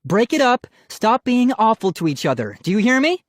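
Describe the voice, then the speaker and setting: Woman acting an exasperated, scolding mother, lively pitch. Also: normal speed, dry recording, clean studio sound. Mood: firm scolding. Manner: firm, scolding. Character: exasperated mother scolding her squabbling children. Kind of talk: children's audiobook character.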